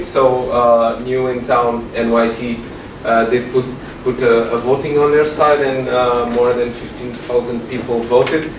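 A man presents at a meetup, pausing often on fillers. His voice is mid-pitched. He is heard from a distance in a reverberant room with noticeable background noise. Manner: hesitant, many fillers. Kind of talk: tech meetup talk. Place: large meeting room with projector. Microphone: far room mic. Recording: echoey room from far off, noisy.

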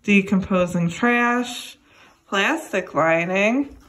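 A young adult woman reading a children's educational book aloud, addressing young listeners in a deliberate way. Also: mid-range voice, fairly bright tone. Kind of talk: read-aloud children's lesson.

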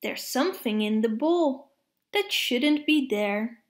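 A woman narrating children's picture books aloud in a deliberate, expressive way suited to young children. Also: sits high. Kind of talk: read-aloud storybook narration.

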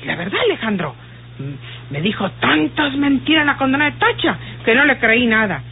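Suspicious country woman in a radio serial; husky, swooping delivery, folksy, insistent. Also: fairly high pitch, very animated, moderate pace, full character voice. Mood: jealous, suspicious. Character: jealous, gossipy, rustic woman confiding her suspicions. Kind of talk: old radio drama acting. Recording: muffled tinny old radio recording.

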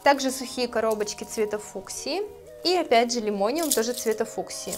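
A woman in her thirties, a florist, calmly explaining her steps in a tutorial, speaking gently to her viewers. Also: on the high side, brisk, faint music behind. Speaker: florist teaching a course. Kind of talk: calm tutorial narration. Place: indoor studio with plants. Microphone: lapel mic.

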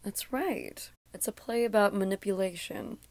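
A cool femme fatale played by a woman in an audio drama, with a medium-pitched voice. She is composed, polite and calculating, recorded close and dry. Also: normal speed, creaky, darkish tone, dry recording, lightly acted. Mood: cool, evasive. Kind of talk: audio play acting.

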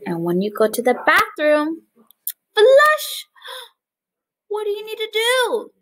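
A young woman preschool teacher reading a picture book aloud to small children and explaining it, with animated, exaggerated expression. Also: very high-pitched, slow, low-quality recording. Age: young adult. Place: small living room, indoors, on a couch.

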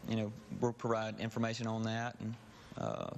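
A man in his thirties with a lowish, flat voice, answering briefly and with pauses. A long studio reverb and faint noise surround him. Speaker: insulation company guest. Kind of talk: live TV interview. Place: TV studio set.

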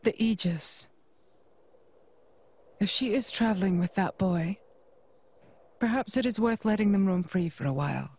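Slow, husky, proud commander. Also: female voice, medium pitch, a little mellow. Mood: cool, resolved. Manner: composed, formal, deliberate. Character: proud, calculating adult female military commander. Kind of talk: video game cutscene acting.

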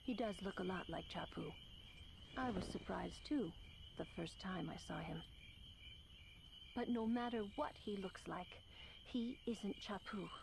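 A woman's voice: mid-range voice, measured pace, creaky, long pauses, background noise. An actress playing a stern, cool young woman mage in a video game, clipped and severe. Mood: quiet, reproachful. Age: young adult. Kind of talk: video game cutscene acting.

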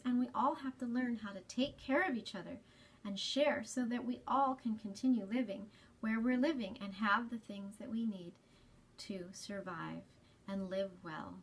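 A woman in her thirties hosting a children's storytime, explaining gently and deliberately in a slightly breathy voice. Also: fairly high pitch. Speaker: children's storytime host at a library. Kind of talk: children's storytime reading and talk. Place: small library space, indoors.

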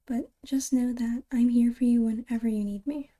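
A woman playing a caring, reassuring girlfriend in an ASMR roleplay, soft-spoken and breathy, close to a whisper, with halting, tender delivery. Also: on the high side, steady tone, natural voice. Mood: gentle concern.

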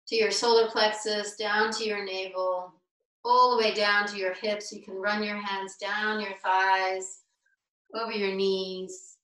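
A woman leading a guided meditation as a teacher, speaking deliberately in short, spaced-out phrases over a video call. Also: medium pitch, pushed and bright. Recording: roomy, distant video-call microphone.

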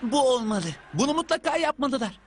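A woman voicing an eager, excitable, football-mad young schoolboy in a cartoon. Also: high voice, brisk, background noise.

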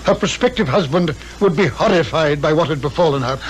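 An actor playing a pompous, condescending psychiatrist-detective with a British accent, precise and wordy in a 1950s radio drama. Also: male voice, fairly high pitch, expressive, a little fast, natural voice. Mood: pompous, dry. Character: pompous, cool, learned male psychiatrist-detective. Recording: noisy 1950s radio recording.

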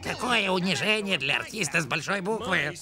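A man voicing a vain, talkative little cartoon mouse, a hammy and indignant actor with a gravelly voice. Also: high voice, lively intonation, moderate pace. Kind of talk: animated cartoon dubbing. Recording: music under the voice.

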